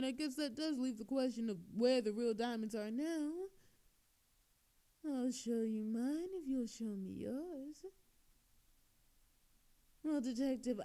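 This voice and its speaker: A woman's voice: on the high side, dark tone. A woman playing a smug, flirtatious master thief in an audio roleplay, with a teasing, coy and mock-innocent manner. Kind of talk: scripted audio roleplay monologue.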